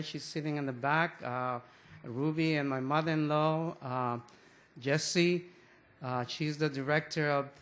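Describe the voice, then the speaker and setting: Older man, fairly high voice, slow, halting, restarts often, church talk. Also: a bit bright. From Mexico. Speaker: guest church speaker. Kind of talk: anecdotal sermon talk.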